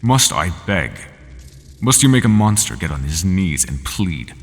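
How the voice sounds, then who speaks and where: A man voicing a resigned, half-human horned monster in an audio roleplay, speaking slowly and formally without contractions. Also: big pitch swings, full character voice. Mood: pleading. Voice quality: very deep and gravelly. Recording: long hall reverb, faint ambience.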